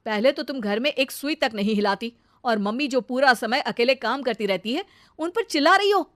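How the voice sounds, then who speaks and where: A woman storyteller acts out a stern young husband in a family tale. The voice is high and dry, sharp with reproach. Each phrase comes as a scolding. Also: animated, normal speed, no room sound. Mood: angry scolding.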